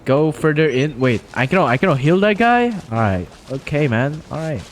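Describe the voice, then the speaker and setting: Slangy, lively male streamer. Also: fairly high pitch, moderate pace, a little hiss or hum. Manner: slangy, joking, reacts loudly. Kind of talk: casual live-stream commentary.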